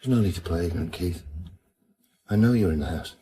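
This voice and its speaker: Deep-voiced, dry police detective. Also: male voice, lively intonation, brisk, dark tone. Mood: calm, persuasive. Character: widowed male police detective, dry, calm, world-weary. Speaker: actor in a radio crime drama. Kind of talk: naturalistic radio drama acting.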